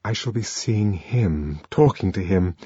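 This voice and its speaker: A man's voice: low-pitched, lively intonation, a little slow, warm tone, slightly rough, no echo, full character voice. A male narrator voicing a young woman's anxious, hopeful thoughts about a man she likes. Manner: hopeful, hesitant inner musing. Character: anxious lovesick young woman musing to herself. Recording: dull lo-fi audiobook recording.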